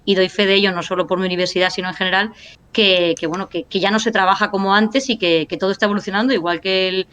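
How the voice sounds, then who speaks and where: A woman's voice: mid-range voice, steady tone, quick pace, fairly bright tone, clear, resonant tone. A woman lecturer and analyst talking in a casual panel discussion, thinking aloud with restarts and tag questions. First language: Spanish. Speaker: university lecturer and analyst guest.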